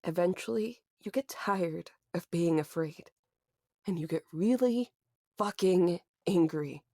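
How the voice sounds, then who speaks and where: A woman playing a weary but defiant young survivor in a horror audio drama, with measured, raw delivery. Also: fairly low pitch, a little mellow, no room sound, clean studio sound. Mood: grim resolve. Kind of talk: horror drama acting and first-person narration.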